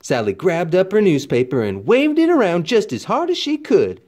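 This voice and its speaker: Animated man reading a storybook, lively pitch swings. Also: normal speed. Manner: animated, folksy storytelling with dramatic emphasis. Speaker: read-aloud narrator, teacher. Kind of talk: expressive scripted storybook narration for children.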